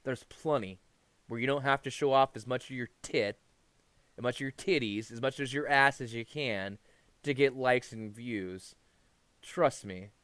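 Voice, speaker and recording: A man's voice: medium pitch, unhurried, a bit bright, clear, resonant tone, dry recording. A man giving unscripted, opinionated commentary as a YouTuber, rambling, repeating himself and swearing. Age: adult past his teens. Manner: rambling, repetitive, profane.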